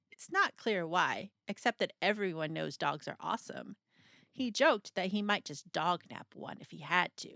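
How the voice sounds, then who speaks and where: A woman narrates a children's picture book in a very animated read-aloud style. Her pitch sits in a middle range and moves a great deal as she stresses key words and pauses for drama. The delivery is playful and clearly scripted, and the sound is dry, with no room echo.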